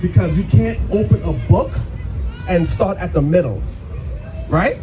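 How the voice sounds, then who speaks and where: A man's voice: high voice, unhurried, dark tone, highs cut off, soft background music, some chatter behind. A man acting as a street preacher, challenging someone with insistent rhetorical questions. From Trinidad, Caribbean. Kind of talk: street debate, rapid questioning.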